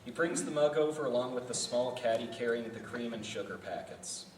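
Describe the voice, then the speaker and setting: A man's voice: medium pitch, a little fast. A man narrating stage directions from a script at a live table read. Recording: echoey room from far off, noisy.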